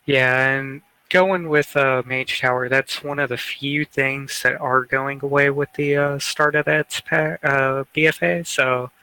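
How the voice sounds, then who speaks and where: A man's voice: medium pitch, steady tone, unhurried, hardly any pauses, sharp tone, clean tone, no echo, rough recording. A man chatting casually as a co-host on a gaming podcast.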